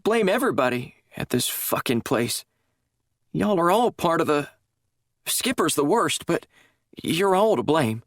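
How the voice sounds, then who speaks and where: A woman's voice: deep voice, sing-song, a little slow, no echo, clean studio sound, pitched above the performer's own voice, exaggerated voice. A man reading a story, voicing a distraught woman who is crying and rambling in broken-off sentences. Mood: bitter. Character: unhinged dying woman, bitter and grieving.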